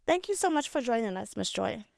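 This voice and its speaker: A woman's voice: a little high, sing-song, a little fast, gravelly, no echo, clean studio sound. A woman hosting an interview, introducing her guest and asking questions in a polite, formal way. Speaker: interview host, educational director. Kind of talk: hosted interview.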